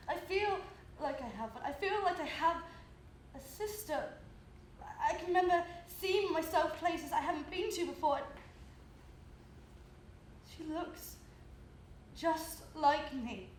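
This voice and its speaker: A woman playing a battered, embarrassed young woman in a live stage play, halting and evasive. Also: high-pitched. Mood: uncertain, haunted. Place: indoor stage, recorded live. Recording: echoey, noisy live theatre recording.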